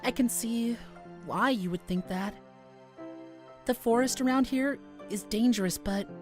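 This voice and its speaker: A woman voicing a shy, gentle young male forest dweller in a visual novel, speaking in a halting, earnest way. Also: a little high, faint music behind, natural voice. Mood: gentle, thoughtful. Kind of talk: visual novel character acting.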